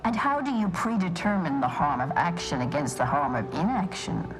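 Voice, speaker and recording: A prim female scholar in a video game, with a lowish pitch. She is formal, precise and pedantic, with clear music behind her. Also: big pitch swings, moderate pace. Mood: prim, measured. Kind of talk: video game dialogue acting.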